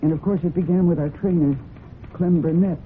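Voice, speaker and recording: An actress as a grave young woman, low-pitched, muffled. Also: steady tone, fairly quick, natural voice. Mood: sombre. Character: grave, composed young woman, bereaved horse farm heiress. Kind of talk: old radio drama acting. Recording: muffled, noisy old radio recording.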